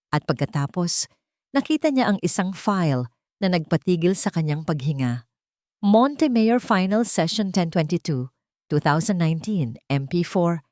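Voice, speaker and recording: A woman with a Filipino accent narrating a scripted dramatic story in a measured, storytelling manner. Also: lowish voice, darkish tone, no room sound, studio quality.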